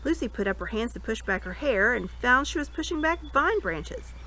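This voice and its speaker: Woman, middle-aged, expressive storybook reading, lively intonation, outdoor webcam sound, some background noise. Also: fairly high pitch, normal speed. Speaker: reader. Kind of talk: reading a children's fantasy novel aloud. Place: outdoors in a front yard. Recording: noisy outdoor amateur webcam recording.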